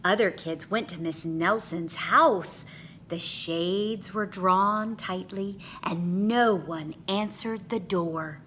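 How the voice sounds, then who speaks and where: A woman reading a picture book aloud to children with dramatic, expressive phrasing. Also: lowish voice, slow, slight background noise.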